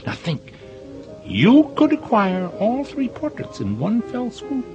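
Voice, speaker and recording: An actor playing a wry older husband in an audio drama, with a dry, teasing, formal manner. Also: male voice, very high voice, wildly swooping pitch, takes it slowly, music in the background, heavily acted. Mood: goading. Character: wry older husband, needling his brother. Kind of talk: audio theater acting.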